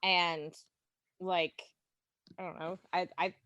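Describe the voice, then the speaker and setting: A woman's voice: fairly low pitch, level tone, slow, sharp tone, smooth, no room sound, highs cut off. Casual woman chatting, dry close sound. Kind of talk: casual podcast chat.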